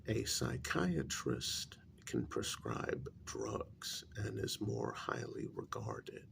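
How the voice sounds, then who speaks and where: A man in a soft-spoken ASMR role-play as a calm, grave psychologist, talking in a measured, halting, breathy half-whisper with gravel in it. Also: extremely low pitch, a little hiss or hum. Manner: deliberate, measured, halting phrases. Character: calm, grave male psychologist and spiritualist counselling a parent.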